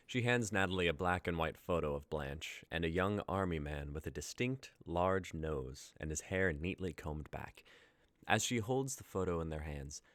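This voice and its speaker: A man's voice: sits low, fairly bright tone, no room sound. A young man reading scripted story narration and stage directions as the narrator of a dramatic reading. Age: young adult.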